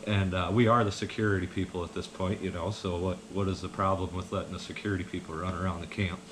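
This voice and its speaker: A man's voice: sits low, flat delivery, quick pace. Rambling man over background noise. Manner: folksy, meandering, chuckles at own stories. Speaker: vlogger telling personal stories. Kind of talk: casual rambling storytelling.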